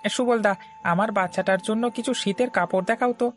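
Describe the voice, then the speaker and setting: A woman voicing a friendly village mother in a cartoon story, with a homely, familiar and expressive delivery. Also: mid-range voice, brisk, lightly acted. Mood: friendly, sympathetic. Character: friendly village mother, warm and sympathetic customer. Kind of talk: Bengali moral-story cartoon dubbing. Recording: background music under the voice.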